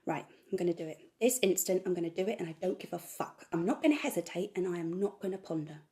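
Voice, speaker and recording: Loud, fired-up defiant woman, acted. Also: on the low side, lively intonation, fast talker, lightly acted. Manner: emphatic, repetitive, declamatory. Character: manic impulsive woman, defiant, sweary, working herself up. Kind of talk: dramatic monologue acting.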